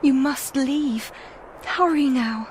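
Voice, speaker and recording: A woman's voice: sits high, slow pace, heavy background noise. An actress playing a devoted young noblewoman in a gothic audio drama, speaking formally with theatrical urgency. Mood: anxious, tender. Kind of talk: period audio drama acting.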